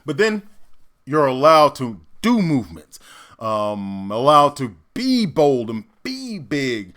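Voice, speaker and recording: A man, an actor, giving casual reaction commentary and explaining stagecraft in an emphatic way, often halting as he thinks aloud. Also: medium pitch, very animated, a bit bright.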